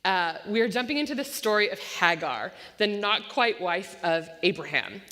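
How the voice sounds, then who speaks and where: A woman pastor preaching a sermon with an unusually loud voice, talking fast and slipping in self-deprecating jokes. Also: medium pitch, expressive. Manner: self-deprecating joking asides; tends to speak fast. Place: church sanctuary. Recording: long church-hall reverb.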